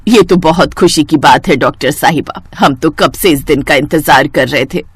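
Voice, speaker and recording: Woman voicing low-pitched, fickle older mother-in-law. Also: normal speed, a little hiss or hum, lightly acted. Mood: pleased.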